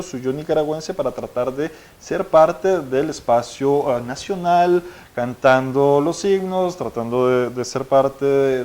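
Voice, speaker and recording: A man, a researcher explaining findings in a measured way, pausing often with "eh". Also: mid-range voice, a little mellow. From Costa Rica. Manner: measured, explanatory, frequent "eh" pauses. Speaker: researcher guest. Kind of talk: academic interview answer.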